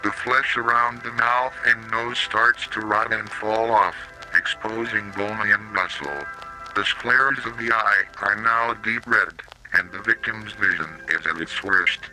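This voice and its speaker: A man's voice: fairly low pitch, fairly even intonation, pushed and bright, rough recording. A synthetic man's voice, robotic and mechanical, narrating a scripted analog horror public-safety warning tape with odd pronunciations. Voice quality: robotic synthetic text-to-speech voice. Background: slow music.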